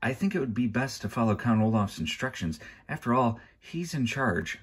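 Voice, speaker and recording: A kindly, stagestruck lady judge from a children's storybook, as acted out by a male narrator. The voice is raised and gushes with slow, dreamy delight. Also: mid-range voice, sing-song, slightly rough, voice pushed up. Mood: doubtful.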